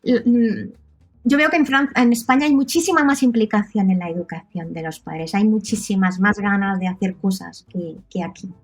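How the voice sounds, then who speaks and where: A woman's voice: medium pitch, normal speed, husky, faint music behind. A woman in her thirties from Catalonia with a Catalan accent, a native Catalan speaker chatting casually as an interview guest, often adding tag questions. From Catalonia, Spain. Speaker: podcast guest.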